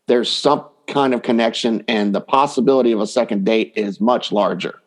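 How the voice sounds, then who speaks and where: A man's voice: lowish voice, normal speed. A man chatting informally and enthusiastically as a dating coach and guest, acting out imagined quotes as he gives advice. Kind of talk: casual conversational interview advice.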